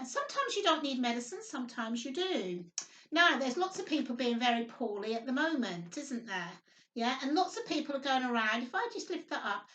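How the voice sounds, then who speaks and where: A woman with a high, very lively voice hosts a children's storytime, heard from a little way off in an echoey room. She reads and explains in simple, sing-song phrases, often tagging on little questions for the children. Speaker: children's storytime host, nursery worker. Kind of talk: reading picture book aloud to young children. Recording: roomy echo, distant microphone.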